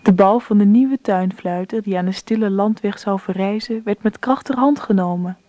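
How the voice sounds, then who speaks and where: A woman's voice: mid-range voice, moderate pace, warm tone, no echo, lightly acted. A woman playing a composed narrator telling her family's story in a Dutch radio drama. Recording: dull dry old radio recording.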